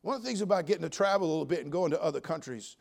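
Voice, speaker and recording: This is an older man, in his seventies, with a noticeably high-pitched voice. He preaches like a folksy Baptist pulpit veteran. He pushes hard on key phrases, then drops into quieter, joking asides. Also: animated, very fast, gravelly. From United States. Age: 70s. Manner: folksy, emphatic, swinging from loud exhortation to quiet joking asides. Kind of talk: sermon.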